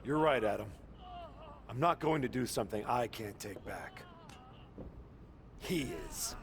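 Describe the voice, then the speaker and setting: An actor playing a merciless pro wrestler villain in a video game, menacing and taunting. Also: male voice, mid-range voice, expressive, a little slow, a little hiss or hum. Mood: cold defiance. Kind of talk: video game cutscene acting.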